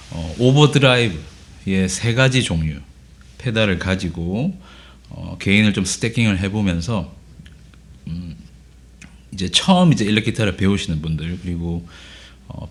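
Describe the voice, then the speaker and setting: A man speaks in a somewhat low register, his voice hoarse from a cold. He explains guitar pedals in an unhurried, conversational way, pausing often with filler sounds as he thinks. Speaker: guitar teacher. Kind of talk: casual gear demo lesson.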